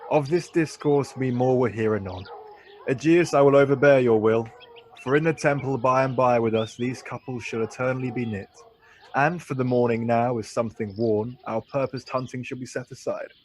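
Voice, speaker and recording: Guy doing commanding duke in a shakespeare radio drama, medium pitch, stately and in charge. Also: normal speed, a little mellow, natural voice, rough recording. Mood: gracious, commanding. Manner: stately, authoritative. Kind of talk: Shakespearean radio play acting.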